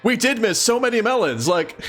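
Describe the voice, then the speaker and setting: A man's voice: very high-pitched, sing-song, a little fast, fairly bright tone, faint music behind. A man doing a casual live read-along of a comic, reading expressively and adding mock-incredulous asides. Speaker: streamer reading a comic aloud.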